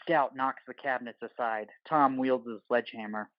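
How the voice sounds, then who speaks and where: A male narrator with a medium-pitched voice reads action lines for an audio drama. His phrasing is clipped, deliberate and dramatic, close and dry, with a slightly dull sound. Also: fairly even intonation, no room sound. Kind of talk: scripted narration of screenplay directions.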